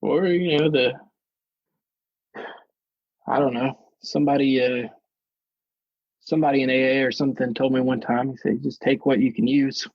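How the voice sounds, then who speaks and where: A man's voice: medium pitch, steady tone, moderate pace, pauses often, dry recording, dull audio. A man co-hosting a casual podcast, laid-back, giving short replies and prompting questions. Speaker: podcast co-host. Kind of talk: casual conversation.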